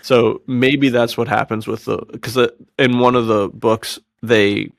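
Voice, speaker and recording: A man's voice: medium pitch, steady tone, measured pace, creaky, dry recording, clean studio sound. A man co-hosting a podcast in casual chat, with a laid-back, joking way of talking full of bro slang. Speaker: podcast co-host. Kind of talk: casual chat about books and films.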